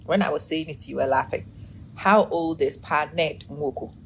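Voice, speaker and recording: A woman in her forties hosting a casual live stream, chatting, laughing often and greeting viewers by name, with her energy running low. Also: moderate pace, slight background noise, low-quality recording.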